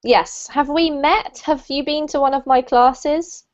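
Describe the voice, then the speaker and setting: A woman from the UK teaching an English class online, cheerful and quick to laugh as she greets students by name over a video call. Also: high-pitched. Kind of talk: casual online class chat. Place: home room in New Zealand. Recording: dull lo-fi video call audio.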